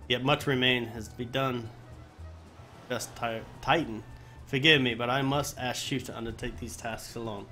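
Halting man streaming a video game. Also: mid-range voice, fairly even intonation, bright, forceful tone. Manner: halting reading, often restarting phrases. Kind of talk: live let's play, reading quest dialogue aloud with stumbles. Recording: music behind the voice. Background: game music.

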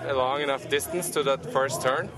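A man's voice: mid-range voice, normal speed. Male athlete, easygoing explaining, music behind. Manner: relaxed, explanatory. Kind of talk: casual interview answers. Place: outdoors at a ski course. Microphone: handheld mic.

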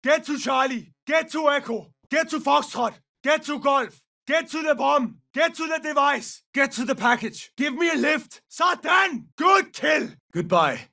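A battle-hungry male mercenary for a military shooter game. His voice is very high-pitched for a man, gritty and hard-edged. He barks short, clipped combat callouts with gruff force. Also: expressive, measured pace, bright, forceful tone, distant mic, exaggerated voice. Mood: urgent, shouting. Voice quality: gravelly.

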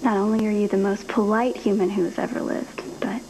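An actress playing a young heiress hiding an illness in a daytime soap, speaking softly and breathily. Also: female voice, medium pitch, flat delivery, fairly quick, close to an everyday voice. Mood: tender. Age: young adult. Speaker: actor in a soap opera. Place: indoor studio set. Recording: noisy old TV recording.

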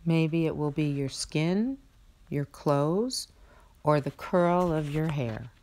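A woman reading a picture book aloud in a measured, expressive storytelling manner. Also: sits low. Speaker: read-aloud narrator.

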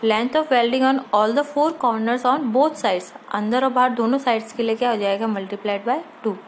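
Indian female lecturer, fairly high voice, didactic, explains step by step with rhetorical questions, noisy amateur recording. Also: slow pace. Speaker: polytechnic lecturer. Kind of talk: explanatory lesson mixing Hindi with English technical terms.

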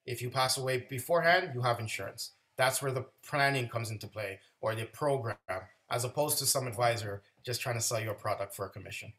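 A man's voice: medium pitch, fairly quick, few pauses, a bit bright. A middle-aged man, a financial advisor, explaining a plan persuasively on a video call from a home office. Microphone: video call webcam.

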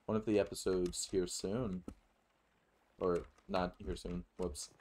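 A man's voice: sits low, level tone, takes it slowly, darkish tone. A man giving casual, rambling let's play commentary with some swearing. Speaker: gaming YouTuber.

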